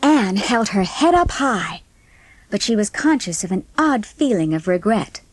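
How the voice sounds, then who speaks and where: A woman with a husky voice narrating a storybook in a scripted audiobook style. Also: medium pitch, big pitch swings, measured pace, dry recording, low-quality recording.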